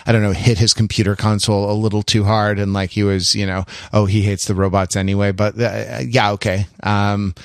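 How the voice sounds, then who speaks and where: A man's voice: on the low side, flat delivery, a little fast, few pauses, clear, resonant tone, no room sound, clean studio sound. A man chatting casually on a podcast panel, hesitant and groping for his words. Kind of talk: casual chat.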